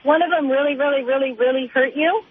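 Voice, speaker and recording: A woman's voice: sits high, fast talker. A middle-aged woman calling in to a radio show, chatting casually in a hesitant, rambling way. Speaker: caller. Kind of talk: casual conversational phone call. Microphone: telephone. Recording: tinny narrow phone line.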